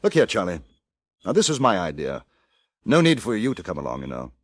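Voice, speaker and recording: A dry, understated ex-cavalry captain. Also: male voice, deep voice, wildly swooping pitch, brisk, no echo, full character voice. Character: reserved English ex-cavalry captain, adult man. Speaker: narrator and lead. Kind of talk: 1950s radio drama acting. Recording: old radio broadcast with music bed.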